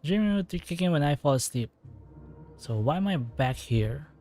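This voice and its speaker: A man's voice: on the high side, expressive, normal speed, a little mellow, soft background music. A man casually commenting aloud while he plays through a game, musing and joking. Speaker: gameplay streamer.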